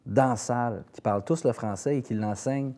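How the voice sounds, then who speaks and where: A man's voice: medium pitch, fairly quick, warm tone. A man with a Quebec French accent speaking casually in an interview as a singer-songwriter, often repeating words as he searches for phrasing.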